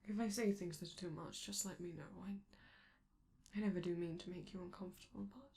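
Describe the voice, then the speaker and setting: A woman voicing a flamboyant, affectionate robot entertainer in an intimate ASMR roleplay, softly and hesitantly, slipping toward whispers with theatrical endearments. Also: fairly low pitch, quick pace, gravelly. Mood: tender, earnest.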